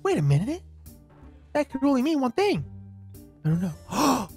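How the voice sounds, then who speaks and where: A man's voice: very high voice, wildly swooping pitch, moderate pace, creaky, darkish tone, husky, soft background music. A man bantering playfully as a gaming video co-host, with a goofy, theatrical way of talking. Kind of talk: playful improvised gameplay banter.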